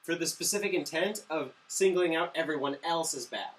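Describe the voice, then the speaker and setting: A man's voice: a little high, a little fast, far from the mic, poor audio. A man co-hosting a casual, opinionated movie review with blunt, argumentative banter, recorded a little away from the mic. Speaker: movie review show co-host.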